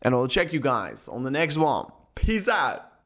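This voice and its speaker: A young man hosting a live cryptocurrency stream in casual chat, speaking English as a learned second language, excitable and full of repeats and restarts. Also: medium pitch, unhurried. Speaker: crypto livestream host.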